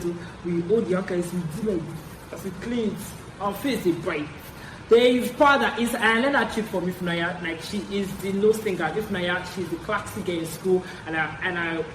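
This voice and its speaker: A woman's voice: fairly low pitch, fairly quick. A young woman with a Nigerian accent tells personal stories in a casual, chatty vlog style, repeating phrases for emphasis. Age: young adult. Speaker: vlogger. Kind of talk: casual confessional storytelling. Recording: echoey room with clear background noise.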